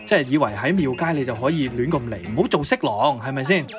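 A man with a Cantonese accent co-hosts in casual banter, playful, expressive and exclamatory. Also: medium pitch, fast talker, music in the background. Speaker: podcast co-host.

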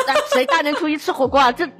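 A woman's voice: high-pitched, fairly bright tone. A woman from Chongqing in China chatting casually as a podcast guest. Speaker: podcast guest, audio drama producer. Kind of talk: casual chat.

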